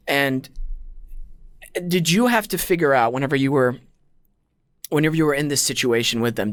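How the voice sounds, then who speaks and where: A man's voice: medium pitch, dry recording, clean studio sound. A man hosting a podcast, talking earnestly in casual chat and often correcting himself mid-sentence. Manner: earnest, searching, self-correcting. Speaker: podcast host.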